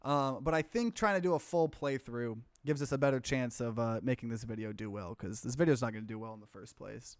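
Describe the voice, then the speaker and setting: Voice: mid-range voice, fairly quick, few pauses, no echo, studio quality. A let's play host giving casual, rambling, conversational commentary on a game. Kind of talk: casual gaming livestream commentary. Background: game music.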